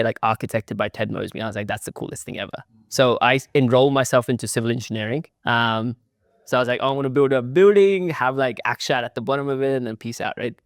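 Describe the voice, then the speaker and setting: A man's voice: mid-range voice, no echo, clean studio sound. Chatty young man full of fillers. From India, raised in Sydney, Australia. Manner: loose and chatty, leans on "like" and "sort of". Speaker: guest, city founder. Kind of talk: casual interview talk.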